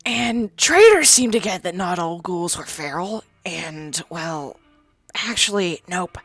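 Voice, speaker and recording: A woman's voice: fairly low pitch, lively intonation, slow, fairly bright tone, dry recording, soft background music, exaggerated voice. A woman voicing a sardonic, crude young female ghoul, a former psychology student, in a game dialogue. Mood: wry. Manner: blunt, crude and defensive. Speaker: companion character. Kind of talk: fan-voiced game dialogue.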